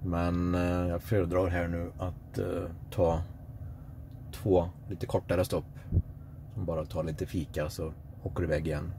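A Swedish man narrating a casual road-trip vlog, relaxed and hesitant with many fillers. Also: deep voice. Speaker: vlogger on a car road trip. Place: electric car on the road and at charging stops. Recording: clear car and road noise.

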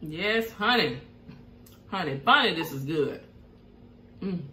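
A woman's voice: on the low side, expressive, takes it slowly, bright, forceful tone, reverberant, a little hiss or hum. A middle-aged woman with a Southern US accent hosting an eating show at home, humming over her food between chatty remarks and reading viewer comments aloud. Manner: chatty, savoring food with hums between bites. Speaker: mukbang host. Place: home room at a table.

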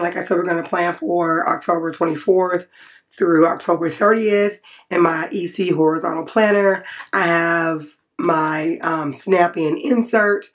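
A woman with a raspy voice from the flu, chatting casually as she walks through her planner and self-corrects as she goes. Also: on the low side. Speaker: planner vlogger. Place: indoors at a desk, natural light.

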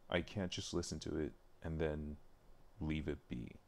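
Very deep-voiced, gravelly man muttering. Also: measured pace. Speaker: streamer. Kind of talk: thinking aloud while working on a livestream. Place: indoors at a computer, livestream. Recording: noticeable background noise, keyboard clicks. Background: typing and mouse clicking.

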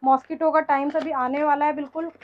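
Female shop seller, thirties, high voice, matter-of-fact product explanations, slightly muffled recording. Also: flat delivery, quick pace. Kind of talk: product demonstration, answering a host. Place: small cluttered shop, indoors.

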